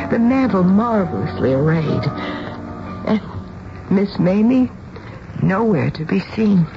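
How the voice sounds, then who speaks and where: An actress playing an anxious, tender middle-aged mother who tells the tale dramatically in a 1970s radio drama. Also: on the low side. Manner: deliberate, dramatic storytelling. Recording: muffled, noisy radio broadcast with music.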